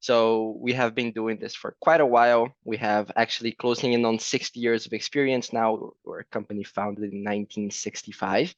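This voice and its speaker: A man with a Brazilian accent presents on a video call, and his low voice comes through dry and a little muffled. He sounds friendly and keeps checking in with "right?" as he goes. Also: fairly even intonation, dry recording. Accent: Brazilian Portuguese. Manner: friendly, fills pauses with "right?". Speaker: program presenter. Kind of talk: virtual fair presentation.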